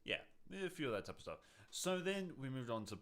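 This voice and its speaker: A man's voice: medium pitch, big pitch swings, fast, a bit bright. A young man co-hosting a podcast in a casual, conversational style. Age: young adult. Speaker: podcast co-host.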